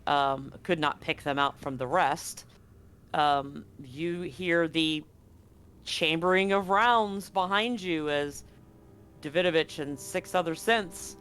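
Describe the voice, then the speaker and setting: A man narrating as game master in a tabletop game, relaxed and full of fillers. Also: a little high, slow pace, clean tone.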